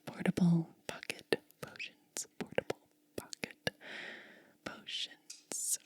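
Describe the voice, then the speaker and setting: Female ASMR host for whispered unboxing: very mellow, breathy, unhurried and hushed. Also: on the low side, no echo. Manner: hushed, lingering delivery.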